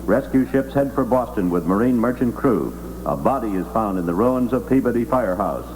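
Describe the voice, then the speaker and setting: A man's voice: fairly low pitch, expressive, brisk, a little mellow, slight background noise, poor audio. A man working as a news anchor, reading headlines in 1960s radio news style on an old broadcast.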